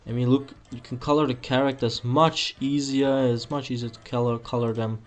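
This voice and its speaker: A man's voice: mid-range voice, steady tone, normal speed. A young man with a German accent, a native German speaker, hosting a casual drawing tutorial at home on a webcam mic, rambling and correcting himself as he goes. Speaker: art tutorial host. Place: small room at home, indoors.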